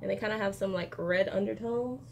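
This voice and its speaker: A woman's voice: medium pitch, fairly quick, creaky. Chatty young woman with background noise. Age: young adult. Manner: informal, slangy, chatty. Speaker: beauty vlogger. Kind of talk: casual makeup tutorial chat. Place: bedroom, indoors. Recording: noticeable room noise.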